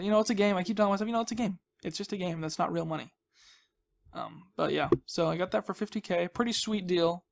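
High-pitched, creaky-voiced young man gaming. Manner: goofy, self-deprecating, mock-dramatic. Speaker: gaming video host. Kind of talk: casual, rambling Let's Play commentary.

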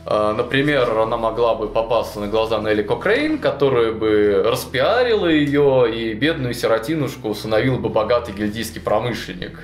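A young man casually retelling a tabletop game campaign to a co-host, animated and full of fillers and restarts, in a small indoor studio. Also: medium pitch, moderate pace, hardly any pauses. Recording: roomy echo, faint music behind.

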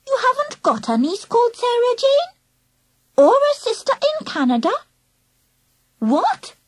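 A very high, babyish little girl's voice, lisping and sugary in a children's storybook. The words are drawn out with mock innocence and swoop widely up and down. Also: full character voice. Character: girl pretending to be a lisping three-year-old, sugary and mischievous.

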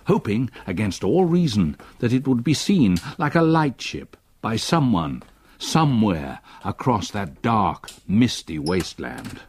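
Deep, haunted older English gentleman narrator. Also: slightly rough, no room sound, clean studio sound. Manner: measured, literary storytelling. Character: older male retired solicitor recalling a haunting ordeal. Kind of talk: dramatic radio play narration.

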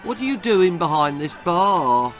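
A man's voice: high-pitched, big pitch swings, a little slow, voice pushed up. A meek and polite young boy in a children's storybook, read by a man. He sounds a little dazed and hesitant, with music behind him. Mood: meek politeness.

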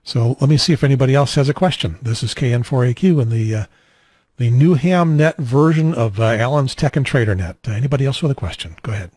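A man's voice: mid-range voice, fast talker, a little mellow, breathy edge, no room sound. Older man hosting radio net, dry close sound. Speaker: amateur radio net host. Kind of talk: conversational technical explanation over ham radio. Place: small home radio room, indoors. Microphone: handheld radio mic held at mouth, boom mic nearby.

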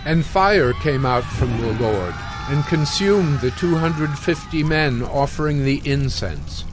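A man narrating scripture from a script for an audio drama, measured and solemn. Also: fairly high pitch, expressive, a crowd in the background, background noise. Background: music.

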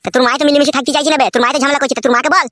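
A man playing an exasperated, crude village husband in a comic cartoon, bickering dismissively in a pitched-up voice. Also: fairly even intonation, rapid-fire, pushed and bright. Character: exasperated, crude village husband caught between two wives.

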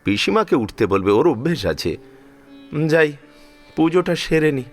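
A man's voice: fairly high pitch, very animated, moderate pace, faint music behind. An actor playing an affectionate, fussing father-in-law of sixty-five in a Bengali audio drama, emphatic and repeating his words as he scolds protectively. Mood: protective scolding. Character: affectionate, fussing 65-year-old father-in-law, devout household elder.

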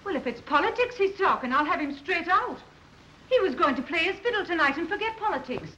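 A woman playing a sociable, devoted colonial wife with an expressive, theatrical 1930s film delivery. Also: very high voice, brisk, full character voice. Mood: playful, bustling. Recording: dull, noisy 1930s film soundtrack.

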